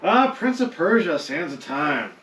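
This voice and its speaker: A man's voice: on the high side, big pitch swings, unhurried. A man co-hosting a podcast in casual, sometimes profane chat. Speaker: podcast co-host. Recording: echoey room, distant mic, faint noise.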